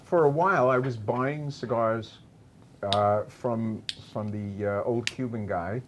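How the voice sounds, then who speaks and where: A man's voice: on the low side, slow pace, noisy background. A man on a casual panel show, telling a story with wry humor. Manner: wry, laughing. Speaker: cigar panelist.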